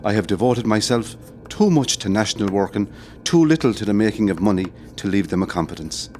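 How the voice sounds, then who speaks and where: Low-voiced condemned Irish rebel played by a man. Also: expressive, fairly quick, a little mellow, slight background noise, lightly acted. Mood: tender, sorrowful. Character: condemned Irish rebel commandant writing farewell letters, grave, tender. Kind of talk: solemn audio drama monologue.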